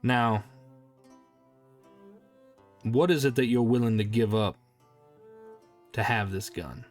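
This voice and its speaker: A man voicing a folksy old western man who speaks in a slow drawl about a family heirloom gun. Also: medium pitch, soft background music, close to an everyday voice. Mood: grave.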